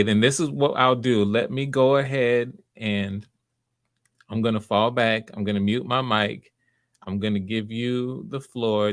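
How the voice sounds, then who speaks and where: A man hosting live voice auditions, speaking casually and giving encouraging feedback. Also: on the low side.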